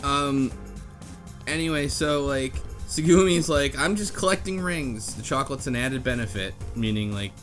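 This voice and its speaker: A man's voice: mid-range voice, animated, moderate pace, fairly bright tone. A man co-hosting a casual group chat, explaining the plot of a game. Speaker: podcast co-host explaining a game's plot. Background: background music.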